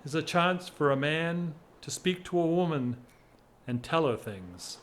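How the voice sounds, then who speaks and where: Slow, wry English gentleman, lovesick. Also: fairly high pitch, expressive. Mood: wistful, restless. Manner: wry, measured, theatrical. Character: whimsical lovesick English gentleman of forty-one, self-mocking. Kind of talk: period audio drama acting.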